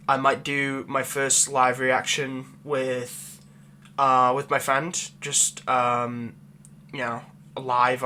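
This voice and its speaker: A man's voice: medium pitch, pushed and bright, slight background noise. A man who makes ASMR roleplays, chatting casually with his viewers, rambling with lots of fillers.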